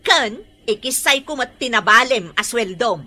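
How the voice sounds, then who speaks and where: A fed-up Ilocano shop owner in radio drama style. Her voice sits high and cuts very sharply, and she talks with the strict, emphatic air of someone in charge. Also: animated, unhurried, pushed and bright, soft background music, exaggerated voice. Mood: irritated. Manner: nagging, emphatic. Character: strict, fed-up woman boss of a laundry shop.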